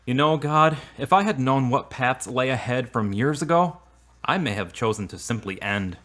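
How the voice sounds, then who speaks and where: A man playing an earnest, very expressive devout boyfriend. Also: mid-range voice, moderate pace, lightly acted. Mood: prayerful, earnest. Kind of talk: acted audio drama dialogue.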